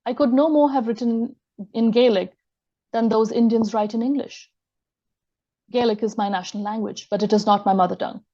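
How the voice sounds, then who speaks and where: Mellow-voiced woman reading an academic lecture. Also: a little high, steady tone, highs cut off. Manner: measured, reads quotations aloud.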